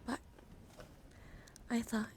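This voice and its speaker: A woman streaming ASMR in a soft, breathy whisper, speaking unhurriedly and intimately close to the microphone. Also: drawn-out words. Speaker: livestream host. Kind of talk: whispered ASMR livestream talk. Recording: close whisper with clear background noise.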